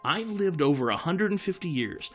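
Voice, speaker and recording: A man's voice: a little high, big pitch swings, moderate pace, fairly bright tone, soft background music. A man playing an opinionated, talkative bird of great age, ranting theatrically and answering his own questions in an audio drama. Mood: indignant ranting. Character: opinionated, talkative old male bird over 150.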